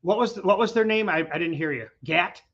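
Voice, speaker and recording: A man with a high, bright voice hosts a casual interview, rambling and chatty as he tells his own memories. His voice is still recovering from a recent illness, and the recording sounds dull and lo-fi. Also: brisk. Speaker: host. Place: his garage, windy outdoors.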